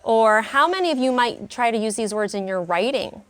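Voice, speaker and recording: Woman grade school teacher, kinda high voice, expressive, prompting little kids w questions in class. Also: moderate pace. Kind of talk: classroom lesson with young children.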